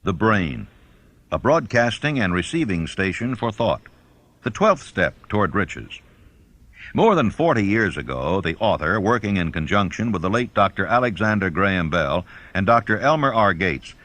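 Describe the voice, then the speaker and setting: A man reading a self-help audiobook as narrator, in a measured, instructional manner. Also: on the low side, fairly bright tone.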